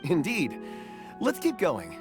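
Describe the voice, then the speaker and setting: A male actor voicing a calm, reflective young miner in a video game, measured and thoughtful with some hesitation. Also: on the high side, heavily acted. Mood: composed. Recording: game music behind the voice.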